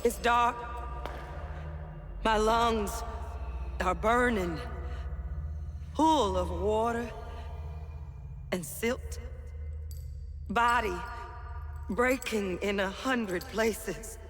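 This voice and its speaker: An actress playing a middle-aged mother, narrating poetically in a halting, solemn, fragmented way for a video game. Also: fairly high pitch. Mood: pained, solemn. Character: anguished mother recalling drowning and being healed. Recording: long reverb, faint music behind. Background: faint music.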